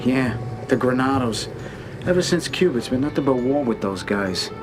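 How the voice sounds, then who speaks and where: An actor playing a talkative mob associate giving the rundown on a rival gang. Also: male voice, medium pitch, expressive, normal speed, music in the background, lightly acted. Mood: chatty. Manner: chatty, loose. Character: chatty male mob associate in Florida, loose. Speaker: Fredo, mob driver.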